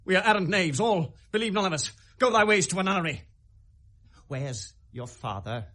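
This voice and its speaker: An actor with a British accent playing a bitter, volatile young prince in classical Shakespearean radio drama, in theatrical, deliberate verse. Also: male voice, high voice, expressive, sharp tone, full character voice. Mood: bitter, agitated. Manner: theatrical, deliberate verse delivery swinging to outbursts.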